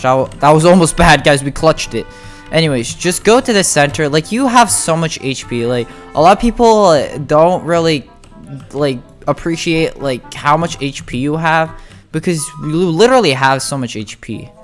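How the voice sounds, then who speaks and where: Casual gaming guide narrator: mid-pitched, informal, chatty walkthrough commentary over background music. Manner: chatty, informal, addresses viewers as buddies. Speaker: gamer making a tutorial. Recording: music playing behind the voice.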